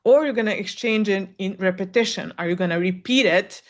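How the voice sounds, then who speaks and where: A woman's voice: medium pitch, brisk. Woman coaching, thinking aloud. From Serbia. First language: Serbian. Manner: candid, thinks aloud with frequent fillers. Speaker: animation content coach. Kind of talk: solo Q&A advice talk.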